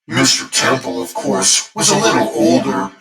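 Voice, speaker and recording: A man voicing a sweetly sinister little old landlady in a spooky bedtime story, with gentle, genteel, drawn-out phrasing. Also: medium pitch, sing-song, pitched above the performer's own voice, natural voice. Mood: wistful, admiring. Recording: echoey, distant voice over music.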